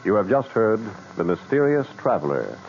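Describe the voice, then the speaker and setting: A man's voice: on the low side, huge pitch swings, moderate pace, creaky, darkish tone, soft background music. A man announcing formally in the style of 1940s network radio. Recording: dull 1940s radio recording.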